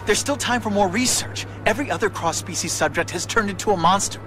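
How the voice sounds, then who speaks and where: An American man playing an earnest, troubled young superhero in a video game, with a breathy, hesitant and sincere delivery. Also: high-pitched, fast, music in the background, lightly acted. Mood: firm, pleading. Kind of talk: video game cutscene acting.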